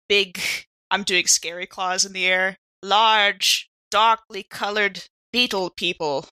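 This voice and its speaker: Lady doing an irritable evasive space station woman, bright voice, close dry sound, improvised rpg, exasperated. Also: medium pitch, dry recording, low-quality recording. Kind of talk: improvised in-character tabletop roleplay.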